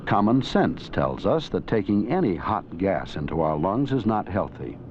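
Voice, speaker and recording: Deep voiced guy doing earnest old 80s school film narration, kinda muffled sound. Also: very animated, moderate pace, background noise. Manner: earnest, didactic delivery. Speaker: documentary narrator and presenter. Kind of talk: scripted educational film narration. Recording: dull 1980s educational film soundtrack.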